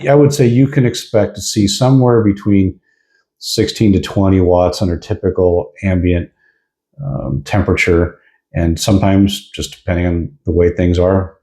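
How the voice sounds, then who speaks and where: A man's voice: deep voice, warm tone. A man giving a casual hands-on product review in an informal, explanatory way.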